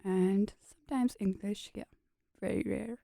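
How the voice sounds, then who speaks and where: Brief: young woman, Pakistani accent, regional first language, earnest podcast guest, gravelly low-key tone. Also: steady tone, unhurried, warm tone, dry recording, studio quality. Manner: earnest, short plain sentences, laughs often. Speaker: graduate student guest. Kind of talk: casual interview chat.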